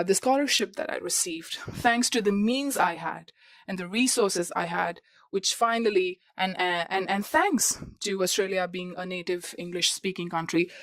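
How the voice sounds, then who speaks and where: A young woman hosting a podcast who explains things step by step in a repetitive way and talks straight to her listeners. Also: medium pitch. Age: young adult. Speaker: podcast host. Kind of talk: advice talk.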